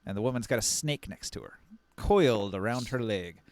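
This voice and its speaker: Smooth-voiced man running a tabletop game. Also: on the low side, lively intonation, moderate pace, no room sound. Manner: plays the NPCs in his own voice, narration with short quoted lines. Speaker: game master. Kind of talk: narrating a tabletop game live.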